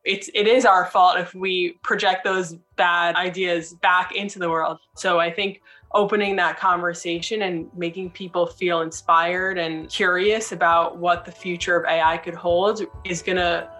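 A woman's voice: lowish voice, little pitch movement, normal speed, fairly bright tone, faint music behind. A woman being interviewed, explaining her thoughts in a casual, informal way with "like" scattered through her sentences. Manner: informal, frequent 'like' as filler. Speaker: interview guest.

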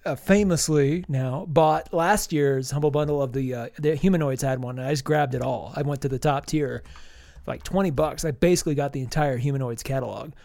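A man's voice: medium pitch, fairly quick, creaky, dark tone, no echo. A man co-hosting a casual comics podcast, stuffy-nosed from allergies, talking in enthusiastic, rambling bursts. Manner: rambling, enthusiastic, many restarts. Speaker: podcast co-host reviewing comics.

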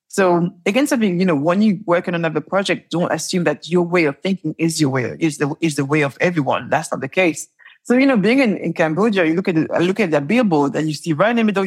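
Husky-voiced woman telling lively stories. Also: low-pitched. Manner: animated storytelling, laughs often, repeats words. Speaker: entrepreneur guest. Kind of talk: conversational interview.

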